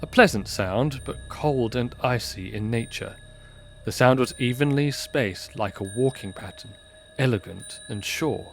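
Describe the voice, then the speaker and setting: A man's voice: medium pitch, a little slow, soft background music. A man reading scripted story narration as the narrator of an audio drama.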